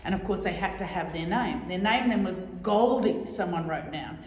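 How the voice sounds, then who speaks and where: A middle-aged woman giving a loud, chatty, joking talk to a society audience, repeating her key points. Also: on the low side, normal speed. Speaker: guest speaker on oral history. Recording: echoey hall.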